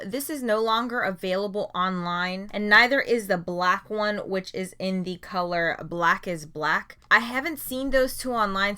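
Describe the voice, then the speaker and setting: A woman's voice: medium pitch, pushed and bright, smooth. A young adult woman chatting casually as she reviews nail polishes for her channel. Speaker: beauty vlogger.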